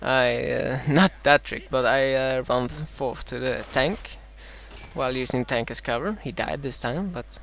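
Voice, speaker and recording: A man from Sweden with a Swedish accent and a dry throat, casting his own strategy game match in a halting way, full of "uh"s and self-corrections. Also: mid-range voice, gravelly, fairly bright tone. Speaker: gameplay commentator.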